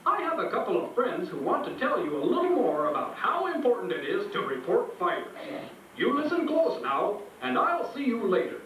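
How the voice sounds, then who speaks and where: A man plays a friendly puppet host with a very high, wildly animated voice. The delivery is earnest and instructive, pitched at children in a scripted puppet show. It comes from a distance in a very echoey hall. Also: moderate pace, a little hiss or hum, heavily acted.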